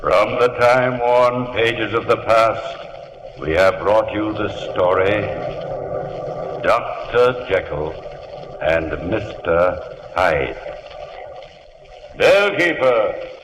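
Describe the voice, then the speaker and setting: A man narrating for an old radio show in a slow, ominous, drawn-out way. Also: fairly low pitch, animated, fairly bright tone. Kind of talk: scripted narration. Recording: echoey, noisy old radio recording.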